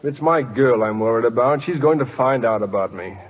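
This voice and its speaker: An actor playing an earnest, polite, hesitant American ballplayer in a vintage radio drama. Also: male voice, mid-range voice, a little fast, husky, natural voice. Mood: troubled, resigned. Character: earnest, flustered, soft-hearted man turned secret ballplayer. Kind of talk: 1940s-50s American radio acting. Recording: muffled old radio broadcast recording.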